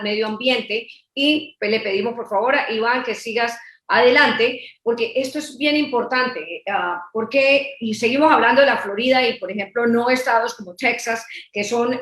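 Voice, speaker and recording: A woman from Colombia gives a promotional webinar talk, reeling off facts and figures. Her voice sits on the high side. The room around her is reverberant. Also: fairly bright tone. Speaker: real estate presenter. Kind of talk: webinar presentation.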